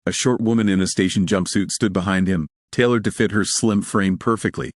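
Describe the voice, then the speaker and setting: A man's voice: sits low, steady tone, fairly quick, no room sound, clean studio sound. A man reading scripted fiction as a story narrator.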